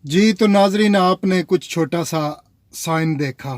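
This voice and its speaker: A man's voice: high voice, unhurried, a little hiss or hum. A man from Pakistan, vlogging in a casual, rambling way and talking straight to his viewers. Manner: chatty, rambling, addresses viewers as nazreen. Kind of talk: casual vlog talk to viewers.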